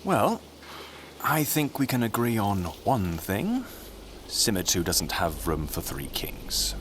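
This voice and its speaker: An actor playing a scheming, grandiose conspirator in a scripted audio drama, speaking in silky speeches. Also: male voice, on the low side, big pitch swings, slow, fairly bright tone, a little hiss or hum, exaggerated voice. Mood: wry, calculating. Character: scheming, theatrical male political conspirator relishing intrigue.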